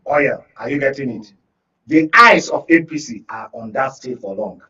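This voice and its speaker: A man's voice: mid-range voice, normal speed, sharp tone. A Nigerian man speaking as a political analyst in a discussion, emphatic and often checking that listeners follow. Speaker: guest political analyst on a talk show. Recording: muffled, distant-sounding studio talk.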